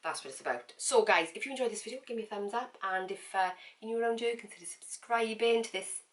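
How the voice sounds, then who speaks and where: A woman talking casually to camera in a vlog, with a croaky voice and a chatty, frank manner full of fillers and repeats. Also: a little high, fast. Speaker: vlogger.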